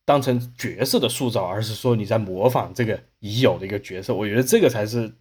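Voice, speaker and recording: Fast-talking man with a slightly husky voice. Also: lowish voice, a little mellow. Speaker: podcast host. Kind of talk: casual discussion.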